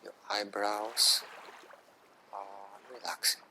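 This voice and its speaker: Slow, low-voiced man guiding relaxation. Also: little pitch movement, background noise. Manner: hushed, soothing, short phrases with long pauses. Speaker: yoga nidra guide. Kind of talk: guided relaxation meditation.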